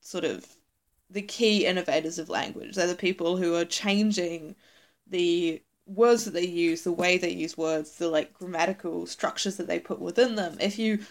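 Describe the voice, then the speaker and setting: A young woman with a New Zealand accent, co-hosting a podcast in casual chat, animated and laughing often. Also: fairly low pitch, normal speed. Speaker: podcast co-host.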